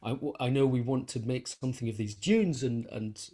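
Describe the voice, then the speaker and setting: A man speaking as an interviewed musician in a reflective conversation, thinking aloud haltingly and rephrasing as he goes. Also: mid-range voice, mellow. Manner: thoughtful, halting, self-correcting.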